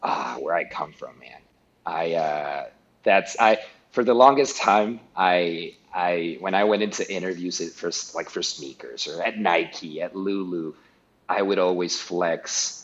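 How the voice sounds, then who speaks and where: Middle-aged guy, deep low voice, casual interview talk, keeps restarting words. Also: measured pace, highs cut off. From Guatemala. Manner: rambling, many restarts and repeated words. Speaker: guest.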